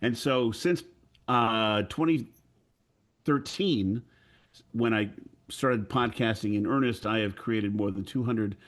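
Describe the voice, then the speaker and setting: Male audio producer, somewhat low pitch, deliberate storytelling delivery. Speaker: audio drama producer, panelist. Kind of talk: self-introduction with personal storytelling.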